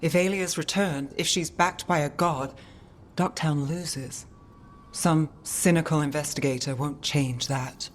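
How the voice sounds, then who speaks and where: A hard-bitten woman investigator from a fantasy game, with a low-pitched voice. She talks in terse, guarded lines that sound worn down and cynical. Clear ambient noise sits behind her. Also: a little slow. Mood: weary, cynical. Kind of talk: dramatic video game dialogue.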